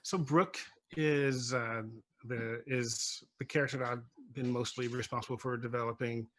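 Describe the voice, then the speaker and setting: A man on a panel explaining his work casually, in a halting, measured way with many pauses. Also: medium pitch, steady tone, fairly bright tone, no room sound, low-quality recording. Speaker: playwright on a panel.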